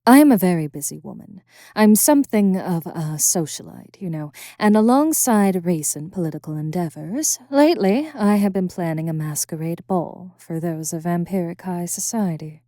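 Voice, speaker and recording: A woman playing a haughty, composed vampire noblewoman in an ASMR roleplay, speaking formally and condescendingly in a soft voice that often drops toward a whisper. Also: fairly low pitch, expressive, no echo, clean studio sound. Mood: businesslike, haughty. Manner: formal, aloof, condescending, deliberate. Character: haughty, composed vampire noblewoman, stern captor with teasing edge. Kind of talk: ASMR roleplay audio drama.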